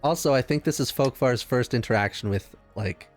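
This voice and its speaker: A man's voice: medium pitch, fairly quick. A man chatting casually as a player in a tabletop roleplaying game, thinking aloud as he goes. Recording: music under the voice.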